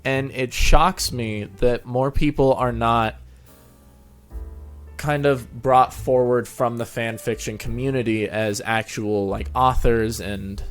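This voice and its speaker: A man hosting a casual interview, with wordy, circling phrasing and self-corrections. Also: medium pitch. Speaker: show host, interviewer.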